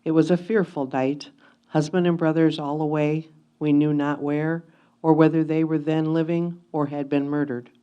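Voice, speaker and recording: A woman giving a solemn, measured dramatic reading of a first-person historical memoir. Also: deep voice, little pitch movement, mellow, clean tone, muffled recording. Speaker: reader of historical letters in reader's theatre.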